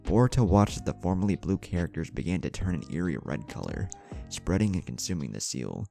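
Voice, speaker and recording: Male storyteller, low mellow voice, lively intonation, dramatic scripted narration, music underneath. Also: a little fast, creaky. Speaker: fan fiction narrator.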